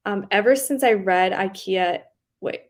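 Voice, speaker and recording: A young woman with a faint Southern accent rambles easily through a casual livestream, full of likes, ums and quick giggles. Her voice sits at an ordinary mid pitch. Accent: slight Southern US on some words. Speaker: booktube livestream host. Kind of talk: casual chat reading live comments.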